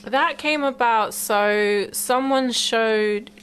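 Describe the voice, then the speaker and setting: A woman's voice: on the high side, very slow, fairly bright tone, a little hiss or hum. A young woman with a South London accent, a singer-songwriter talking casually and informally as an interview guest, retelling things as quoted conversation. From South London, born in Colombia. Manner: informal, anecdotal, quoting conversations. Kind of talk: casual chat.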